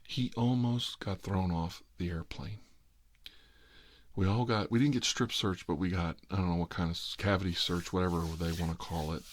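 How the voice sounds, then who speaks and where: A man's voice: low voice, fairly even intonation, moderate pace. A middle-aged American man telling a rambling story in ASMR style, with a wry, sarcastic, exasperated manner.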